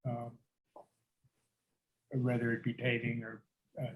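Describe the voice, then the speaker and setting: A man's voice: medium pitch, monotone, slow pace, poor audio. A man with a mid-range voice joins an informal board meeting discussion. He clarifies questions in a conversational way.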